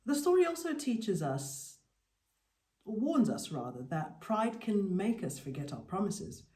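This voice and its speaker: A woman storyteller narrating a folktale expressively, with deliberate, dramatic phrasing and emphatic pauses. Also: medium pitch, big pitch swings, darkish tone, roomy sound. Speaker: storyteller, podcast host.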